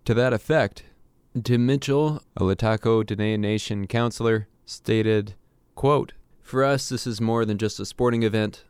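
Smooth-voiced male radio reporter. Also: on the low side, darkish tone, no room sound. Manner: measured, formal delivery. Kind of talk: scripted news report and interview.

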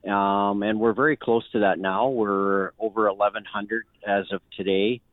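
A man interviewed about organizing a sports event, talking haltingly with many fillers and restarts. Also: on the low side, fairly even intonation, dry recording. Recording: tinny phone line.

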